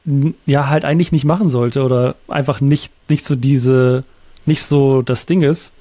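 A man's voice: medium pitch, steady tone, moderate pace, dark tone, no room sound. A man talking casually as a guest, reflective and hesitant, often searching for his words. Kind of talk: casual conversation.

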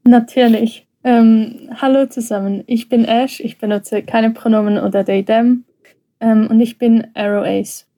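Swiss-accented young woman, mellow voice. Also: on the high side, steady tone, unhurried. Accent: Swiss German. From Switzerland. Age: young adult. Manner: thoughtful, with frequent hesitation fillers. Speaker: podcast guest. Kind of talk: casual podcast conversation.

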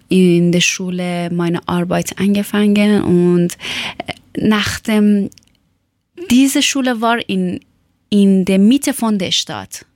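A woman from Iran with a Persian accent, speaking German as a second language and telling a personal account haltingly, often searching for words. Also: mid-range voice, no echo, studio quality. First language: not German. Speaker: former teacher telling her life story.

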